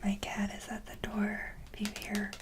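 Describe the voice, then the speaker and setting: A woman whispering softly in a casual ASMR style, talking to viewers with frequent hesitant pauses mid-sentence. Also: mid-range voice, completely flat delivery. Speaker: ASMR video creator.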